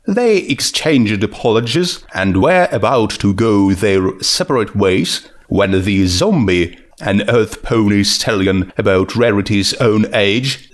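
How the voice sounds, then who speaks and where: A man reading a scripted story aloud as its narrator. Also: fairly low pitch.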